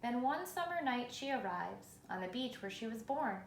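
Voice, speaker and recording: Woman reading a children's book, creaky voice. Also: on the high side, big pitch swings, normal speed. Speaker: reader. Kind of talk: children's picture book read aloud. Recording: roomy echo, faint background noise.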